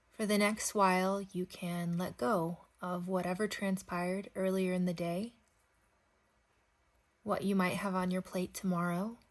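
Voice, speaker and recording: Female meditation guide for bedtime practice: medium pitch, very slow delivery, gentle and spacious phrasing. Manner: gentle, unhurried phrasing with long pauses.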